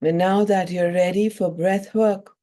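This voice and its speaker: Female meditation and breathwork guide: relaxed warm tone, unhurried delivery, soothing, spacious pauses. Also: lowish voice. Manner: soothing, measured phrases with long pauses. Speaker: yoga and breathwork teacher.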